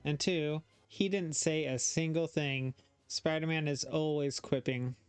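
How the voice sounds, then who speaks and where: A man's voice: fairly high pitch, steady tone, unhurried, smooth, dry recording. A man giving casual reaction commentary as a host, often thinking aloud and hesitating.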